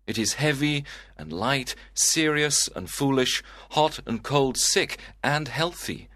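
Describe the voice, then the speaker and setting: A man voicing a gloomy, lovesick young man who speaks softly and sadly. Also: medium pitch, sing-song, unhurried, no echo, clean studio sound. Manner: soft, sighing, melancholy.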